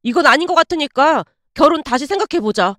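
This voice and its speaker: A woman's voice: high-pitched, lively intonation, fast, fairly bright tone, no room sound, studio quality. A woman voicing an exasperated, indignant young man in a dramatized story, blunt and scolding. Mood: fed up.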